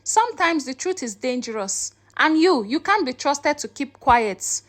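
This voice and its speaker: A woman storyteller playing a stern, cold elderly patriarch with a deliberate, threatening manner. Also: sits high, very animated, fairly bright tone, no room sound, heavily acted. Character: cold, menacing elderly patriarch guarding family interests.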